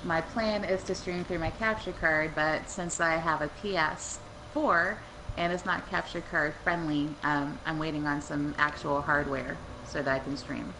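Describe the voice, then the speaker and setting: A woman chatting casually with friends while they play a game online, with animated bursts. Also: sits low, normal speed, background noise. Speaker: gaming guest. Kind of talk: casual gaming chat.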